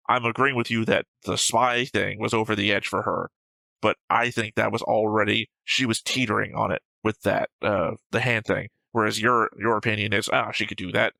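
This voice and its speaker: A man's voice: fairly low pitch, vocal fry, sharp tone, no echo, low-quality recording. A man co-hosting a reaction show, talking through his opinions in casual, argumentative discussion. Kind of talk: casual discussion and episode commentary.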